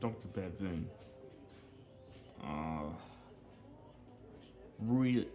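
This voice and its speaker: A man giving rambling, casual commentary on video game cutscenes, speaking haltingly with repeated phrases and earnestly explaining small details. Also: sits low, animated, warm tone, dull audio. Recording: game music behind the voice.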